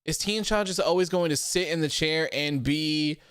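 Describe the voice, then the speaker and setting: A man's voice: a little high, moderate pace, a bit bright, smooth, dry recording, clean studio sound. A man in his early thirties, hosting a livestream and chatting loosely, reading viewer comments aloud with lots of likes and ums. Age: 30s. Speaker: podcast host reading live chat. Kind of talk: casual livestream chat.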